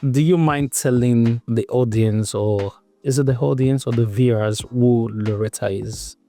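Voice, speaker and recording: Man, podcast host, casual interview, relaxed, repeats himself, soft music under. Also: medium pitch, a little slow. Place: London.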